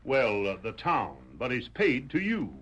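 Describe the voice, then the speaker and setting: A folksy, wheedling ex-soldier with a Southern country drawl. His mid-pitched voice swings up and down as he boasts and bargains. It is comic old-time radio western acting. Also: very animated, fairly bright tone, breathy edge, heavily acted. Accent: American Southern country. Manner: folksy, swaggering fast talker. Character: wheedling, boastful rustic ex-soldier con man.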